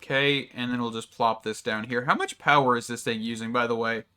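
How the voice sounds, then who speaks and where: Man, gaming commentator, bright clear tone, casual rambling narration over gameplay, slightly worn voice, desk mic. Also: medium pitch, steady tone, brisk. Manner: rambling, thinks aloud with many fillers. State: voice a little off from strain. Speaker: gaming YouTuber. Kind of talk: casual let's-play commentary.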